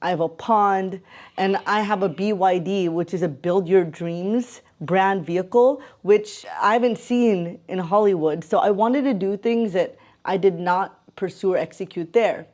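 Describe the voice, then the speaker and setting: A woman about to turn forty talks straight to camera in a relaxed, upbeat vlog. Her voice is mellow and warm, with a chatty, open manner and frequent light laughter. She speaks at a middling pitch. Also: a little slow. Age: 30s. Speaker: vlogger. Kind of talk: casual talking-to-camera vlog. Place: home in the Philippines with roosters heard.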